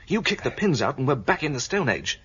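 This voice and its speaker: A man's voice: medium pitch, very animated, a little fast, fairly bright tone, breathy edge, heavily acted. An actor playing a skeptical male official who is loyal to the system and speaks in a doubtful, matter-of-fact way. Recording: dull lo-fi radio drama recording.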